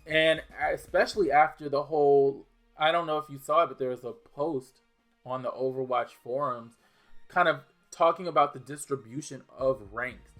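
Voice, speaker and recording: A man's voice: mid-range voice, a bit bright. A young man from New Orleans with a mild New Orleans accent, chatting casually and bluntly while answering viewer questions, with restarts and fillers. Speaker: gaming video creator. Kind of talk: casual Q&A chat.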